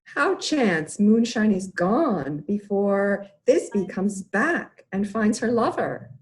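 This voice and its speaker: Woman playing an aristocratic play-watcher, dryly unimpressed; measured, mid-pitched, plain Shakespeare reading on a video call. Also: expressive. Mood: dryly critical. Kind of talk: amateur Shakespeare table read. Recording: home video call recording.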